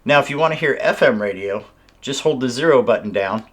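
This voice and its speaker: A man's voice: mid-range voice, animated, brisk, breathy edge. A man giving a casual, conversational hands-on product demonstration, explaining things step by step. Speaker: radio reviewer and host.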